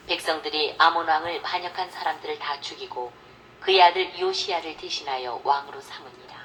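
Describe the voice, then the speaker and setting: Low-voiced woman reading scripture. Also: fairly bright tone, a little hiss or hum, rough recording. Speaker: scripture reader. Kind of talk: steady Bible reading.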